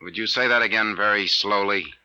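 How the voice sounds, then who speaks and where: An actor in a 1950s radio drama playing a brisk, sardonic, skeptical hard-boiled private detective. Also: male voice, on the low side, sharp tone, smooth. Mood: incredulous. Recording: muffled vintage 1950s radio recording.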